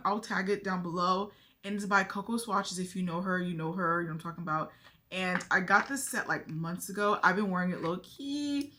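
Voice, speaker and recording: A woman's voice: medium pitch, pushed and bright, slight background noise. A young woman chatting casually to her viewers while doing her makeup, thinking aloud as she goes. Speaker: beauty vlogger. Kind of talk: casual makeup tutorial chat.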